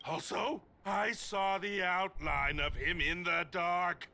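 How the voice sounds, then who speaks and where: An actor playing a nervous young patrol guard who stammers and gets frightened easily. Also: male voice, high-pitched, steady tone, slow, pushed and bright, a little hiss or hum, heavily acted. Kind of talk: game voice acting.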